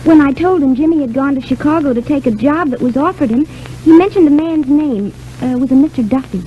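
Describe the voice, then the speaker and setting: A woman's voice: sits high, fast talker, warm tone, lightly acted. An actress playing a plucky, naive young girl in an old-time radio serial, earnest and chatty. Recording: muffled, noisy old radio recording.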